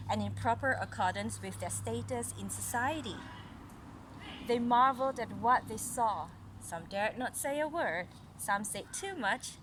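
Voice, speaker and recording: Woman, storytelling mother with a highish voice, reads to a child and explains as she goes; noisy recording. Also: fairly bright tone. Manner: explains and asks the child questions. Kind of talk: read-aloud storytelling with casual asides to a child.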